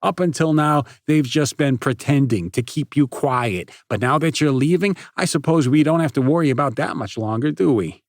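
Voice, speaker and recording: A man's voice: fairly high pitch, wildly swooping pitch, measured pace, hardly any pauses, a bit bright, slightly rough, no room sound, clean studio sound, voice pushed up, exaggerated voice. A man voicing a cruel, taunting teenage boy who talks in a cold, hard, sneering way. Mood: jeering.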